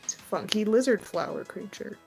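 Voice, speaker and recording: A woman's voice: medium pitch, expressive, fairly quick, creaky, a little mellow, soft background music. A woman game master who improvises narration for a tabletop game and explains the scene in a conversational way.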